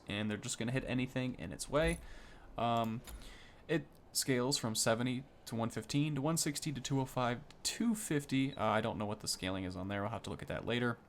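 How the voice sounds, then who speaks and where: A man's voice: medium pitch, slight background noise. A man hosting a gaming video, giving casual, easily impressed first-look commentary that rambles and corrects itself.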